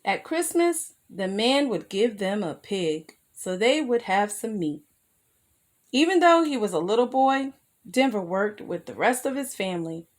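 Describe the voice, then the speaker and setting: A woman reading a children's picture book aloud as a storyteller, expressive and careful, with asides to her young listeners. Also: medium pitch, a little slow. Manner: addresses young listeners with simple questions.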